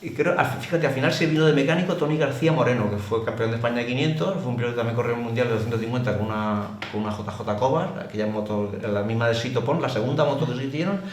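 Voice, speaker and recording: A man's voice: medium pitch, very fast, hardly any pauses. An older man with an accent from Spain, a former racer, chattily telling anecdotes in colloquial, exclamatory speech as an interview guest. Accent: Spanish from Spain. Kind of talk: casual anecdotal storytelling. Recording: roomy echo with clear background noise.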